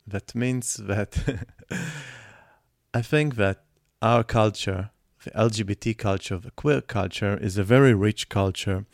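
A man's voice: lowish voice, takes it slowly, creaky, no echo, studio quality. A man, hosting a podcast and telling his own story in an earnest, reflective way. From Israel. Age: 20s. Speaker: podcast host. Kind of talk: personal podcast monologue.

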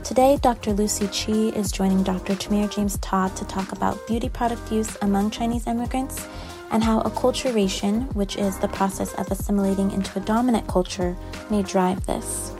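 A woman reading a scripted podcast introduction in an even, read-aloud delivery. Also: medium pitch, normal speed. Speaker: podcast narrator. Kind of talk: scripted narration. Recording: narration over music.

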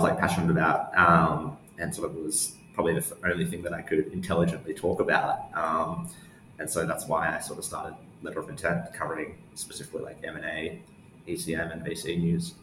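A man giving casual interview answers in a hesitant, meandering way, with lots of "like". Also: low-pitched. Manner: hesitant, meandering, heavy use of "like". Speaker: interview guest, newsletter founder. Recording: roomy echo with faint background noise.

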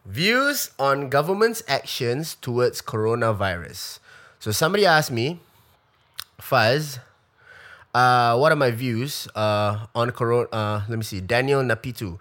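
A man's voice: mid-range voice, animated, a little slow, fairly bright tone. Rambling Singaporean man in his thirties. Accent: Singaporean English. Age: 30s. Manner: rambling, casual, frequent fillers and restarts, swears freely. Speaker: comedian. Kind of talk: live-stream Q&A.

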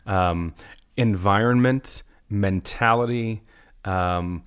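A middle-aged man, a pastor, talking in a relaxed conversational way, earnest and a little preachy, who keeps asking "right?". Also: lowish voice, takes it slowly, clean tone. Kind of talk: conversational podcast talk.

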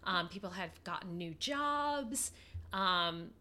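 A woman co-hosting a podcast in casual chat, stuffed up with a head cold so she sounds a little nasal, talking with plenty of fillers and pauses. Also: on the low side, lively intonation, bright, forceful tone. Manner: chatty, with many fillers and pauses. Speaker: podcast co-host.

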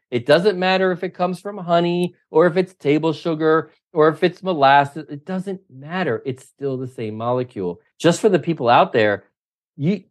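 A man's voice: fairly high pitch, darkish tone. A middle-aged man, a triathlon coach, answering interview questions in an emphatic, opinionated way, often running through lists. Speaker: triathlon coach, podcast guest.

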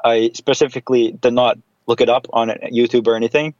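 A man's voice: medium pitch, steady tone, fairly quick, a little mellow, no echo. Rambling man with slightly dull audio. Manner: rambling, many fillers and restarts, casual. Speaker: podcast guest. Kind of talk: casual podcast chat.

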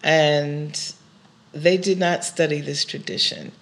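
Gravelly-voiced New woman in her sixties. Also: deep voice, fairly even intonation. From United States. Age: 60s. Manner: measured, thoughtful, spiritual phrasing. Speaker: interview guest, singer of Orisha chants. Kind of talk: reflective conversational interview.

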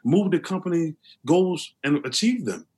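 A man with a deep voice, speaking earnestly as an interview guest, often restarting his sentences. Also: animated, moderate pace, darkish tone, slightly rough. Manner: earnest, with many restarts and fillers. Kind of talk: conversational interview.

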